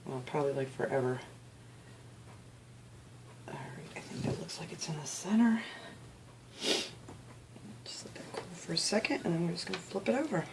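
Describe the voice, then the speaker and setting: A low-pitched woman talks through a craft project in an easygoing, chatty way, sounding sniffly. She keeps slipping in "you know" while she explains each step. The home recording has clear background noise and clicks. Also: lively intonation, normal speed, pauses often. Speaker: craft video host. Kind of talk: casual step-by-step craft tutorial.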